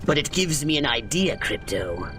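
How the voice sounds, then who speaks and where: An actor playing a bossy, scheming alien commander in a video game cutscene, speaking in a clipped, commanding way. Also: male voice, on the high side, big pitch swings, moderate pace, fairly bright tone, faint music behind.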